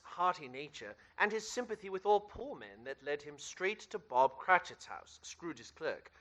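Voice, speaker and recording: High, bright male radio-play narrator. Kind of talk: theatrical scripted storytelling in a radio play.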